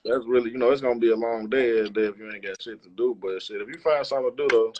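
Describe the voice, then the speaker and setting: A man's voice: medium pitch, fairly even intonation, very fast, lo-fi sound. A man chatting casually as an interview guest, using a lot of slang and swearing. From Chicago, United States. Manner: slangy, profane. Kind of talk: casual chat.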